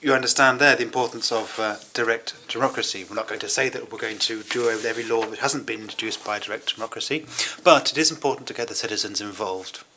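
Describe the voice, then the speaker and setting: Bright-voiced male conference interpreter. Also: fairly low pitch, a little hiss or hum. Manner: measured, hesitant phrasing with pauses. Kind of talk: simultaneous interpretation of a speech.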